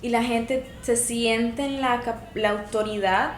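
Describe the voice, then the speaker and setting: A woman's voice: fairly high pitch, fairly even intonation, slow, a little hiss or hum. A woman in her twenties with a Colombian Spanish accent, co-hosting a podcast and telling her own stories in a chatty way, laughing often. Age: 20s. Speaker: podcast co-host. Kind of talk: casual chat. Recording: echoey room with faint ambience.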